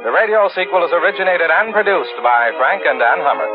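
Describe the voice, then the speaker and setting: A man's voice: fairly high pitch, fairly quick. A man reading scripted credits as a 1940s radio announcer. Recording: dull vintage radio recording, loud music. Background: loud music.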